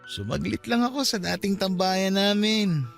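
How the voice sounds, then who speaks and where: An actor with a Filipino accent playing a wounded, drunken young boyfriend in a Tagalog radio drama, pleading and pushy. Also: male voice, sits high, lively intonation, moderate pace, vocal fry. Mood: clingy, tipsy. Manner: pleading, insistent. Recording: dramatic music bed behind the voice.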